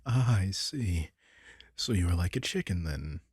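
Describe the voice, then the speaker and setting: A man playing a caring, wry vampire king in an intimate comfort roleplay audio drama, talking casually and softly with dry teasing. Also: sits low, animated, measured pace, husky, dry recording, full character voice. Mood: playful teasing.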